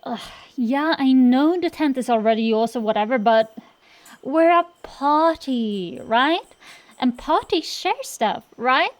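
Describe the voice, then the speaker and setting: A woman's voice: sits high, expressive, slow. A woman playing a cocky tsundere dragon-girl sorceress in an acted roleplay, bantering straight to the listener with sassy, slangy, defensive bluster. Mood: wheedling.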